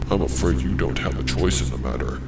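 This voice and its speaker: A man voicing a sinister, calm pegasus villain in a dark fan fiction, speaking slowly and deliberately with cool menace. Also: low voice, creaky, background noise, pitched below the performer's own voice. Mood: cold menace. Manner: slow, deliberate, coolly menacing. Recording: distant voice under loud music.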